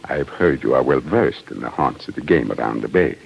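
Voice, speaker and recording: A scheming commandant from a 1940s radio play, with an extremely low, gravelly voice. He is unctuous and insinuating, choosing each word deliberately. The old recording has a clear layer of noise. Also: expressive, dull audio, full character voice. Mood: sly, conspiratorial. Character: scheming, sly prison commandant of Tsarist Russia. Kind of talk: 1940s radio drama acting.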